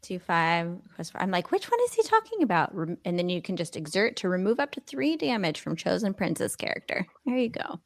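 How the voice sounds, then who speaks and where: A woman's voice: fairly low pitch, sing-song, brisk, few pauses. A woman co-hosting a casual podcast chat, playful and giggling often.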